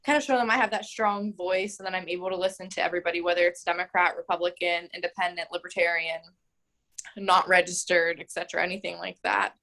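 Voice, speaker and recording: Chatty young woman, low-pitched. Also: little pitch movement, fast, sharp tone, rough recording. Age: 20s. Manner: chatty, frequent "like" and "um", laughs easily. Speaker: mayoral candidate. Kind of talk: casual interview answers.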